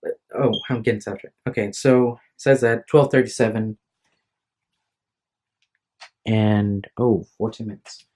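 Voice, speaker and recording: A man's voice: fairly low pitch, fairly even intonation, a little slow, long pauses, dark tone. A tired young man talking casually into his phone at home, rambling and apologetic, often restarting his sentences. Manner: hesitant, apologetic, restarts a lot. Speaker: vlogger. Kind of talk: rambling casual vlog to camera. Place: room at home. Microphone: phone microphone.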